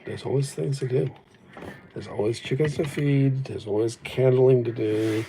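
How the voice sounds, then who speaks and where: A man's voice: medium pitch, moderate pace, mellow, slight background noise. A man whose first language was Japanese, chatting casually and reflectively on his livestream, rambling and poking fun at himself, recorded on an old phone. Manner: rambling, reflective, self-deprecating. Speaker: livestream host.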